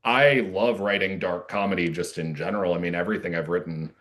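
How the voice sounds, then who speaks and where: Low-pitched man, discursive interviewee. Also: brisk, a bit bright. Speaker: playwright being interviewed. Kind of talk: casual, reflective interview talk.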